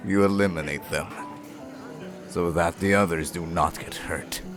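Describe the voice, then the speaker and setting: A man playing a deep-voiced, stern, righteous father. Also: fairly even intonation, over music. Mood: stern, insistent. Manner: righteous, slow and measured, disapproving. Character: stern, unyielding father, a veteran monster exterminator. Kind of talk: audio roleplay drama acting.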